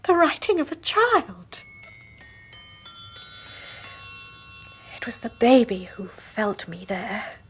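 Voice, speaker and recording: An actress playing a haunted young mother in a radio horror drama, speaking hesitantly in a hushed, husky voice. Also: fairly high pitch, very animated, a little slow, a little hiss or hum. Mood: tense, awed. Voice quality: breathy, husky. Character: anxious young mother, haunted and unsettled by her husband.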